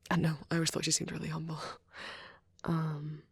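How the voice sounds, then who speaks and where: A woman playing a shy, nervous vampire boy in a soft-spoken ASMR roleplay, with a hesitant, rambling, stammering delivery and a husky voice. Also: sits low, monotone, fairly quick, no room sound, exaggerated voice. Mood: rambling, absorbed. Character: shy, nervous young male vampire on a date.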